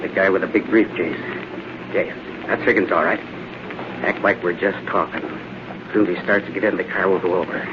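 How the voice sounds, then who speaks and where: A sneering, bossy American hoodlum, acted by a man in a vintage radio serial, pitched on the low side. He snaps out curt orders in tough slang. The recording is muffled and clearly hissy. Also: fairly even intonation, fairly quick, long pauses, close to an everyday voice. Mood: impatient. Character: menacing, sneering hired thug, bossy adult gangster. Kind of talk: 1930s-40s radio drama acting.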